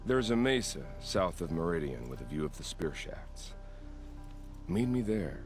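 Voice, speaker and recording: Low-voiced, theatrical bloodthirsty hunter. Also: male voice, very animated, measured pace, over music. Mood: wistful, intimate. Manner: poetic, dramatic, savoring phrases. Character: suave, bloodthirsty male hunter, wistful and theatrical. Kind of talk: video game cutscene acting.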